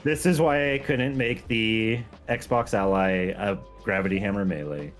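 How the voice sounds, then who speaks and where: A man's voice: on the low side, measured pace. A man who makes game mods, chatting casually and playfully as a guest on a gameplay stream, with music behind him. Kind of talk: casual chat while playing a game.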